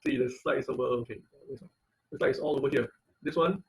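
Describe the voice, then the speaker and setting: A man teaching an informal online class and taking questions, speaking haltingly and often repeating phrases as he searches for words. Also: medium pitch, vocal fry. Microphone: online video-call audio.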